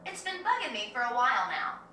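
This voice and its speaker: Loud breezy game gal, very bright high voice, cheerful and blunt. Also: female voice, big pitch swings, quick pace, slight background noise. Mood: teasing. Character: brash, cheerful, big-sisterly woman adventurer. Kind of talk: English-dubbed game cutscene acting. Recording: very echoey, distant game capture, music behind.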